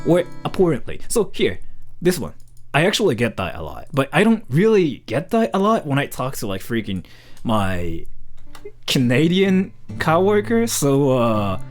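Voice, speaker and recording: Young male streamer from Japan, loose rambling chat, very lively pitch, faint guitar bed. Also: a little slow. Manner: rambling, filler-heavy, says "freaking" and "like" constantly. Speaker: live streamer. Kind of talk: casual live-stream chat.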